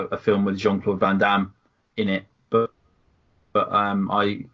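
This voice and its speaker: A man's voice: on the low side, a bit bright. A British man in a casual panel chat, hesitant and full of ums. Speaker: panel regular. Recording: dull lo-fi audio.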